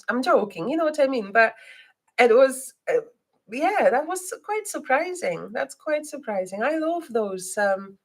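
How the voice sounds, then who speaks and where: A woman who learned English as a second language talks slowly, and a faint Scottish twang colors her words. She hesitates constantly, with ums and restarts. When she leads a reading, her voice turns soft and guiding. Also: a little high. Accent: non-native English with a slight Scottish twang. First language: not English. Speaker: psychic reader and live stream host. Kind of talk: live stream chat and guided reading.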